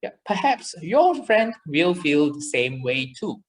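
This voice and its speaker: A woman's voice: low-pitched, very animated, takes it slowly, full character voice. A woman voicing a chirpy, caring child puppet in a children's show, with simple, encouraging explanations. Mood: gentle, comforting.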